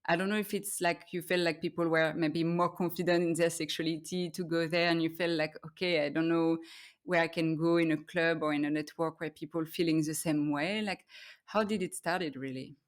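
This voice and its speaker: French-accented woman, slightly low voice. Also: steady tone, a little fast. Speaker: podcast host, interviewer. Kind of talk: casual chat interview.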